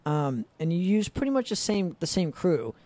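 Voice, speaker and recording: Male podcast host, fairly high but mellow voice, dry sound, halting "um"-filled questions. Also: very animated, dry recording. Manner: hesitant, many "um"s, recaps before asking. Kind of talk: interview questions. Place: small studio room. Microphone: desk mic with headphones.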